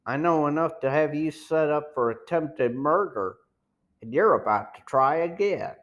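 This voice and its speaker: A man's voice: fairly high pitch, expressive, a little slow, highs cut off. A man voicing a sour, threatening smuggler chief in an audiobook, pressing and sneering. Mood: threatening, uneasy. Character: sour, menacing male smuggler gang chief. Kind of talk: audiobook villain dialogue.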